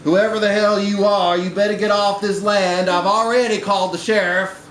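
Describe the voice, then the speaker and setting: A man's voice: sits high, little pitch movement, normal speed, fairly bright tone, pitched above the performer's own voice. A man acts out a grudging, suspicious older country woman in a live story reading. The voice is raised and scolding, with folksy bite, in a roomy, slightly noisy space. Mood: angry. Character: gruff, suspicious rural older woman, grudging former mother-in-law.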